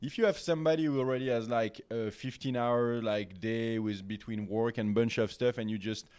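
A man with a French accent talks loosely on a podcast, wandering through his points with fillers and false starts. His voice sits at an ordinary middle pitch. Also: steady tone, moderate pace, darkish tone, clean tone. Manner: rambling, lots of fillers and restarts. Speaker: guest. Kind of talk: casual podcast chat.

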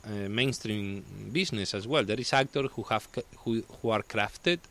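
A man from Spain with a Spanish accent, talking as a guest in casual chat, halting and restarting as he searches for words. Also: on the low side, a bit bright.

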